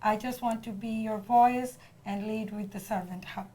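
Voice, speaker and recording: A woman with a Bangladeshi accent answers interview questions as a political candidate, speaking earnestly and a little haltingly. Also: mid-range voice, steady tone, mellow. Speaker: city council candidate being interviewed.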